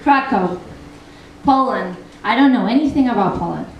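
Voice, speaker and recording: A young Argentinian woman speaks in a fairly high voice, her thick accent colouring every phrase. She talks chattily, full of ums and restarts, on a translation panel. The sound comes from a distance in a very echoey room with a faint hiss. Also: lively intonation, moderate pace, muffled recording. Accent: Argentinian Spanish. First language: Spanish. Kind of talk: panel talk with poetry reading. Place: festival event room.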